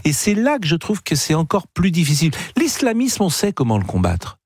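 Male talk radio host, lively pitch, dry close mic, jumps in, hesitant with fillers. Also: fairly quick, breathy edge, dry recording. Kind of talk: live radio interview.

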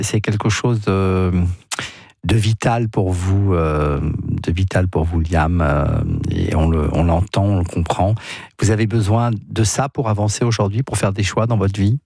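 Very low, gravelly male radio host. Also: a little slow, dark tone, no room sound, studio quality. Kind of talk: radio call-in talk.

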